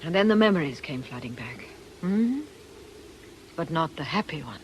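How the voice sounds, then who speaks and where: A woman's voice: sits low, expressive, normal speed, lo-fi sound, slight background noise. Bitter, taunting witch, swooping delivery. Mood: brooding menace. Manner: theatrical, mocking, dramatic. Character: bitter, taunting middle-aged woman, a defiant witch. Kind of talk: radio drama acting.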